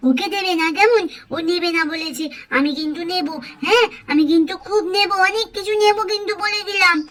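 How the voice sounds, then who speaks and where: A woman voicing an excitable little girl doll in a cartoon story, childlike and eager, repeating her phrases. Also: very high-pitched, brisk. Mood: demanding, selfish.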